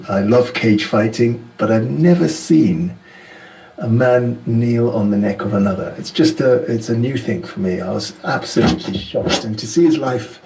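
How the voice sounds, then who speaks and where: Earnest man, gravelly and distant. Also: on the low side, mellow, roomy sound. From Ghana. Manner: earnest, measured, heartfelt. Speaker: caller sending a message to the show.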